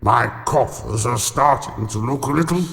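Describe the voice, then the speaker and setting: A man's voice: mid-range voice, normal speed, creaky, fairly bright tone. An actor playing a cruel, haughty giant master in an audio pantomime, pompous and menacing as he savours his words. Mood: scheming. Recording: long cavernous reverb.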